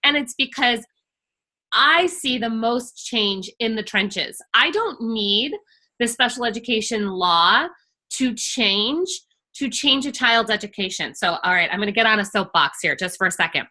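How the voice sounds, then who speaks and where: A middle-aged woman speaking with a bright, forceful edge and a slightly high pitch. She talks passionately and emphatically, as if advising parents in a relaxed workshop chat. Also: a little slow. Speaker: IEP coach and workshop host. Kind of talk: casual webinar conversation.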